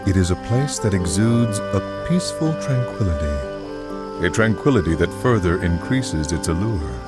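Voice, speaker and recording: A very low-voiced storyteller in a video game cutscene, calm and wistful. His delivery is poetic and measured with theatrical, lively swings of pitch. Loud music plays behind him. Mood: wistful, admiring. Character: calm, wistful male storyteller describing a tranquil village. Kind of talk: scripted game cutscene narration.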